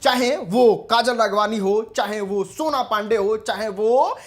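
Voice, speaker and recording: Lady, highish voice, gossipy explainer to viewers, teasing and over dramatic. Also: unhurried. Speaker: commentary channel host. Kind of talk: informal gossip commentary to viewers.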